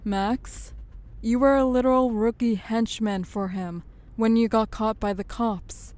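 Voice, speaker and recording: A woman plays a worldly female syndicate enforcer in a crime audio drama. She takes her time, speaking in a mellow, relaxed voice with a wry, knowing edge. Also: on the high side, close to an everyday voice. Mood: knowing, advising. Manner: unhurried, knowing, teasing.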